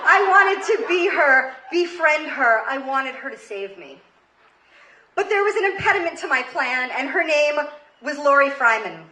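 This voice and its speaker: High, bright-voiced woman telling stories on stage. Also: expressive, normal speed. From Queens, New York, United States. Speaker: comedy show host and storyteller. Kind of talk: live comedic storytelling read from a script. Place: live comedy stage before an audience. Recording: roomy live stage recording, faint noise. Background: audience ambience and faint noise.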